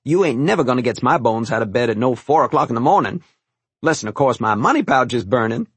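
A man's voice: mid-range voice, animated, a little fast, husky, no room sound, voice pushed up. A man voicing a cheerful, teasing old woman who works as the family cook, speaking in folksy rural dialect. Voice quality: rural dialect grammar, chuckling warmth.

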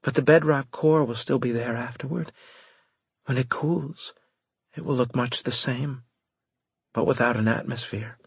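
A man voicing a cool-headed woman officer in an audiobook, measured and deadpan as she reports on a space battle. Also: a little high, breathy edge, no echo, voice pushed up. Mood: deadpan, resigned. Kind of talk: audiobook character dialogue.

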